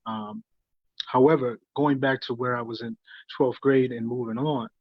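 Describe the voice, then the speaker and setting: A man being interviewed, telling his life story earnestly in a halting way full of fillers and restarts. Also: mid-range voice, steady tone, no echo, highs cut off. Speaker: guest. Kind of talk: reflective conversational storytelling.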